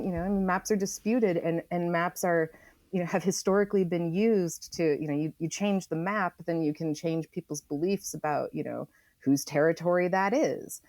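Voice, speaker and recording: Low-pitched woman, dry close sound. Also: dark tone, no room sound. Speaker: interview guest, environmental writer. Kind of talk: thoughtful conversational explanation.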